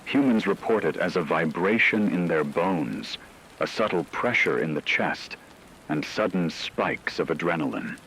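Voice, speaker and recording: A man performs a solemn male news anchor in a radio drama, with a deep voice. He reads ominous emergency bulletins in a measured, scripted way, with a light bed of noise behind him. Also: lively intonation, fairly bright tone, exaggerated voice, poor audio. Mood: grave, ominous. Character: grave male radio news anchor reporting a werewolf apocalypse.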